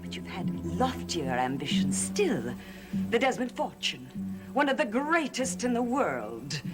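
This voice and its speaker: Coven elder witch: bitter, scornful, highly animated theatrical delivery, gothic soap with music. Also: a little slow. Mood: accusing.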